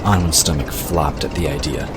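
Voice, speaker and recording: A man's voice: very deep voice, fairly even intonation, moderate pace. A man reading scripted audiobook narration of a story. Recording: clear noise behind the voice. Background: ambient sound effects.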